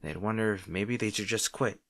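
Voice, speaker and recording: A man's voice: lowish voice, normal speed, fairly bright tone, no room sound, studio quality. A man playing a wry, insecure, secretly fond supervillain rival in a roleplay audio drama, talking to the listener in a hesitant, conversational, sardonic way. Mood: defensive, insecure.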